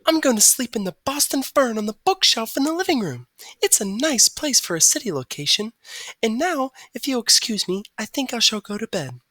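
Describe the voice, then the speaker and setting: Woman doing a soft, musical little songbird. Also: on the high side, sing-song, normal speed, no room sound, pitched above the performer's own voice, exaggerated voice. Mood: courteous. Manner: prim and courteous. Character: small gentle female songbird, soft and musical.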